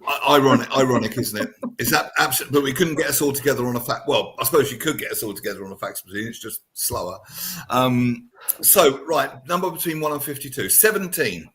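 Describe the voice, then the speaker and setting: A man hosting a chat show, an older father telling a self-deprecating story in a chatty, relaxed way. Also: mid-range voice, brisk, slight background noise. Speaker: radio show host. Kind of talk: casual chat.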